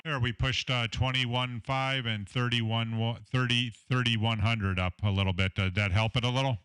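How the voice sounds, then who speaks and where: A deep-voiced man with a Southern American accent, chatting casually about his radio audio setup from his home shack, with a lot of fillers and technical talk. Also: steady tone, normal speed, fairly bright tone, clean tone. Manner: rambling, filler-heavy, technical. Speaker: ham radio operator, station owner.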